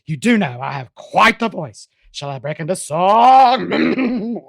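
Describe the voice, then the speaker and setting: A woman playing a vain, volatile Hollywood diva in a comic radio drama, theatrical, husky and domineering. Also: mid-range voice, very animated, slow pace, dry recording, clean studio sound, exaggerated voice. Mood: coy, grand.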